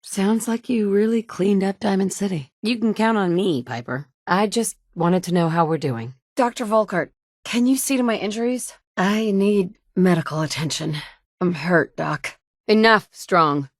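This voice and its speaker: A woman's voice: medium pitch, dry recording. An actress voicing a tough, direct female survivor in a video game, speaking in a matter-of-fact way in a studio booth. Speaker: player character. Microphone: close studio mic.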